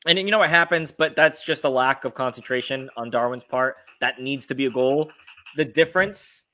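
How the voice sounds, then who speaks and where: A man's voice: on the high side, a bit bright. A man hosting a casual football fan podcast, talking through the game in an informal, conversational way. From United States. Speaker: sports podcast host.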